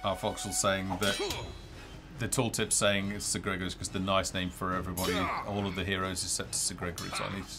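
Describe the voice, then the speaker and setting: A middle-aged man with a low, creaky voice presents his game live. He talks casually and hesitantly, thinking aloud as he plays, with game music clearly behind him. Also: little pitch movement, fast. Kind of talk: casual live gameplay demo commentary. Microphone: headset.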